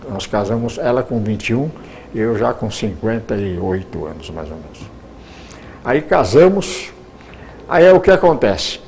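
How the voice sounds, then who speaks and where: Older man with a gravelly voice. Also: medium pitch, a little mellow. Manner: rambling, frequent restarts and repeated words. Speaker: retired radio announcer. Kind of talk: personal life story.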